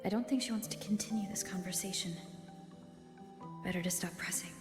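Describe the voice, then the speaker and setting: A woman playing a calm, supportive young adventurer in a video game, speaking gently and directly. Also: medium pitch, fairly quick, music in the background, natural voice. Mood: calm, inquiring. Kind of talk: video game character dialogue.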